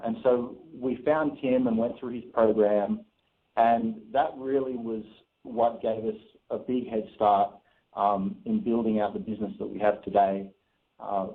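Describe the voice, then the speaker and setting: A man in his thirties with an Australian accent, a guest telling his story in a chatty radio interview with easy laughs. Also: fairly low pitch. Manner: chatty, laughs between phrases. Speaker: guest, real estate investor. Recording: phone-line interview audio.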